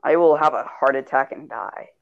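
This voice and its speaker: A man co-hosting a casual podcast over online voice chat while gaming, joking and talking in quick rambling bursts. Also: medium pitch, gravelly, no room sound, highs cut off. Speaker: podcast co-host.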